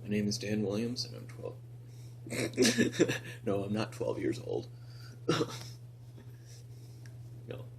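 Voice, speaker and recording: Soft-spoken, halting man in his thirties. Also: on the low side, expressive, creaky, a little hiss or hum. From Northern California. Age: 30s. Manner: soft-spoken, halting, many pauses and restarts, drops to near whisper. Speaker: vlogger telling his story. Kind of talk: personal confessional vlog.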